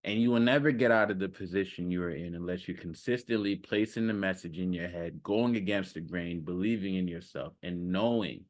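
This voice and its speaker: A man's voice: low-pitched, brisk, few pauses. Emphatic man giving casual motivational talk. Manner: emphatic, repetitive, slangy, often checks in with the listener. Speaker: self-improvement coach.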